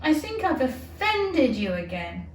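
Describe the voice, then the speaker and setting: A woman's voice: high-pitched, very animated, unhurried. A woman playing a polite, eager young girl from a storybook, with an earnest, soothing and chatty manner. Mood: contrite, coaxing. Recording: roomy, distant, noisy amateur recording.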